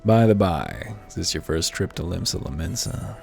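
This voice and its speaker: Guy doing a chatty old-fashioned game peddler, folksy talk, really low and lively, music under it. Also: normal speed, vocal fry, exaggerated voice. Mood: chatty, boastful. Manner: folksy dialect, colloquial phrasing. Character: chatty, friendly travelling peddler man, folksy and worldly-wise. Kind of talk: voiced reading of fantasy game dialogue. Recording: music behind the voice.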